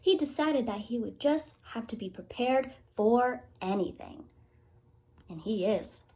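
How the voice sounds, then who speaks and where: A woman's voice: fairly high pitch, animated, unhurried, darkish tone. A woman teacher reading a picture book aloud to young children, expressively and with little explanatory asides.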